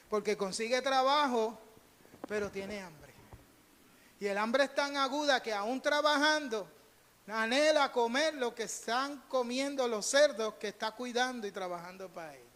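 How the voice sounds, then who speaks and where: A man's voice: extremely high pitch, a bit bright. A Puerto Rican man preaching as a pastor in a conversational storytelling style, emphatic, repeating phrases and acting out bits of dialogue. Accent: Puerto Rican Spanish. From Puerto Rico. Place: church sanctuary during a live service. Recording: reverberant hall, live church service.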